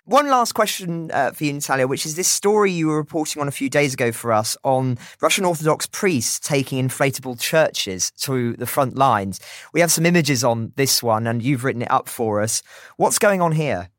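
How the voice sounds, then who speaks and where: British man, news podcast host, mid-pitched, very dry studio-like sound. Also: animated, normal speed, a bit bright, no room sound. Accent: British English. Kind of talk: journalistic hosting and interviewing.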